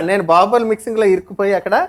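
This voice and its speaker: A woman's voice: medium pitch, fast talker, vocal fry. A woman telling casual anecdotes in an interview, quoting past conversations as she goes. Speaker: film music composer.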